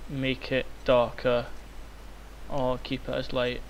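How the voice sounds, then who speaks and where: A young man, hoarse and croaky from illness, rambling with many ums through a software tutorial. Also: medium pitch, monotone, slow pace. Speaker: tutorial maker. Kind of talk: screen-recorded software tutorial. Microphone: cheap microphone. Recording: faint hiss, cheap mic.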